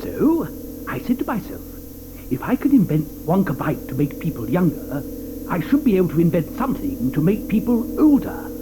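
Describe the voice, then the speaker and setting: An actor playing an eccentric, fussy male chocolate maker in an audio drama, talking theatrically with many hesitant pauses. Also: high-pitched, wildly swooping pitch, background noise. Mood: hurried, eager. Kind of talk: 1980s audio drama acting. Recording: studio audio drama with music behind.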